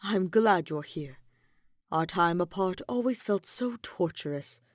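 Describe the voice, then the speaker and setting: A woman voicing an earnest, tender young man in love, speaking with gentle formality in a story reading. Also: on the low side, expressive, measured pace, dry recording, studio quality. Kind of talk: audiobook-style fan fiction character reading.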